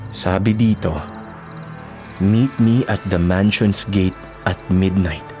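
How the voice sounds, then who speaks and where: A man acting an earnest, lovestruck young boyfriend in a radio drama, expressive and prone to stammering when startled. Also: sits low, slow pace, faint music behind. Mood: puzzled, uneasy.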